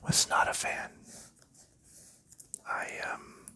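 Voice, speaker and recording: A man whispering softly as an ASMR host, rambling casually with frequent pauses and ums while he unwraps and sleeves game cards.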